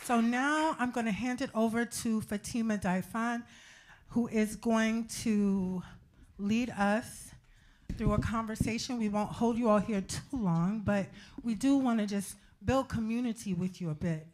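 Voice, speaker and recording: A woman's voice: on the high side, unhurried. A woman giving a thank-you speech to a live audience, calling out each name emphatically. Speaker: playwright and community engagement fellow thanking collaborators. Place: live event space before an audience. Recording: noisy live room with loud crowd.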